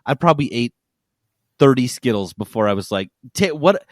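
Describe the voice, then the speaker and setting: Mid-pitched man in dry casual chat. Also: lively intonation, moderate pace, no echo. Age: adult parent. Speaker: podcast panelist.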